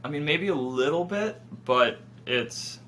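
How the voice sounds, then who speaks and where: A man's voice: fairly high pitch, expressive, unhurried, a bit bright. A man, a band drummer, chatting casually as an interview guest. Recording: faint hum and noise.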